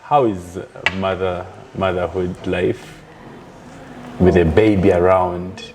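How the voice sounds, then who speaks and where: Casting: playful male talk show host, lowish voice, slight background hiss. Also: fairly even intonation, slow pace, a little mellow, poor audio. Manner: jokey, teasing, laughs often. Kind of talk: playful interview chat.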